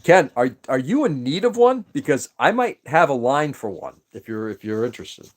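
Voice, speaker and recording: A man's voice: medium pitch, big pitch swings, moderate pace. A man whose first language was Greek, chatting casually on a livestream, rambling and greeting viewers by name. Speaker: livestream host.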